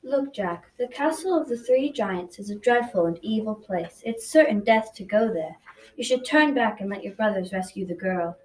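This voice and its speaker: A woman's voice: mid-range voice, expressive, moderate pace, far from the mic, natural voice, rough recording. A girl of about twelve or thirteen playing a wise, loyal talking pony in a school radio drama, reading earnestly and solemnly. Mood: calm warning. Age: child, around 12-13.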